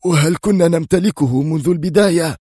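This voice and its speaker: A man voicing an edgy, irritable male operative in an audiobook, with a sharp, agitated delivery. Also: a little high, a little fast, slightly rough, no room sound, studio quality, voice pushed up. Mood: sharp, angry. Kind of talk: audiobook character voice.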